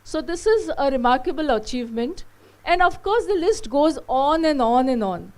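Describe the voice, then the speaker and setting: A woman's voice: high voice, animated, normal speed. A woman moderating a festival session, introducing a guest speaker in a formal way with frequent fillers.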